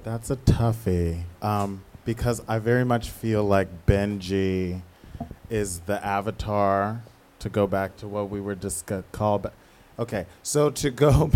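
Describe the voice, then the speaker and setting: A man with a fairly low voice speaks as a guest in an interview. He is candid and reflective, working out his thoughts as he goes and adding emphatic asides in a conversational way. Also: a little mellow. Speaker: interviewed playwright.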